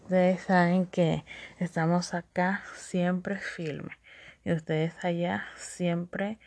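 A woman's voice: fairly low pitch, level tone, slow, a little mellow, no room sound. A woman with a Dominican accent hosting a solo podcast, chatty, laughing often and repeating catchphrases. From Dominican Republic. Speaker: podcast host.

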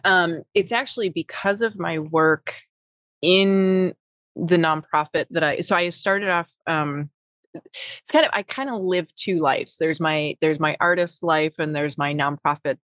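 A woman's voice: low-pitched, a little slow, a bit bright, no room sound, studio quality. A middle-aged woman from Wisconsin with a Wisconsin accent, talking casually as an interview guest, with lots of fillers and restarts. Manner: rambling, many fillers and restarts. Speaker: podcast guest, nonprofit executive.

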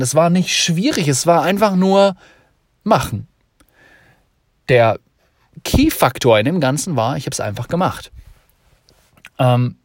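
A man's voice: mid-range voice, no room sound, studio quality. A young man hosting a podcast, talking freely and emphatically, repeating points to drive them home. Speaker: podcast host. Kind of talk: unscripted motivational monologue.

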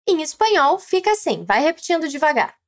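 A woman with a Brazilian Portuguese accent, teaching a language lesson slowly and clearly, prompting the listener to repeat. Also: high voice, very animated. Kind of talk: scripted lesson narration.

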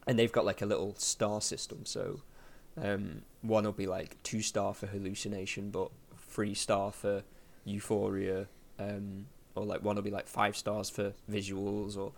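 A man's voice: lowish voice, completely flat delivery, a little slow, creaky, few pauses. A man hosting a casual podcast chat, rambling with lots of fillers and restarts. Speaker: podcast host.

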